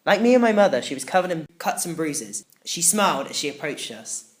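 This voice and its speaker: Female children's audiobook narrator, low register, very varied intonation, dramatic and emotive. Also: big pitch swings, brisk. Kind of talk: expressive first-person children's story narration.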